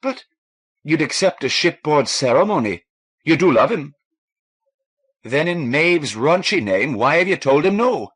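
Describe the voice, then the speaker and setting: A man voicing a warm, frank Irish woman, a ship's doctor, in a lilting, coaxing manner for an audiobook. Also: fairly high pitch, very animated, a little slow, long pauses, husky, pitched above the performer's own voice, full character voice.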